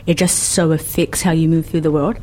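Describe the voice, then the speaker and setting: A woman in her twenties with a New Zealand accent, speaking thoughtfully and in a measured way as a podcast guest. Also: deep voice, steady tone, darkish tone. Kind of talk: reflective conversation.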